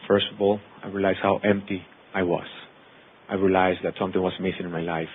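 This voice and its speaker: A man's voice: low-pitched, flat delivery, brisk, a little hiss or hum. A man from Mexico in his thirties, Spanish native, with a Mexican accent, giving an earnest personal testimony to an audience. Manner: earnest, lists words in long runs. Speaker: actor and producer speaking to an audience. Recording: tinny phone-like sound.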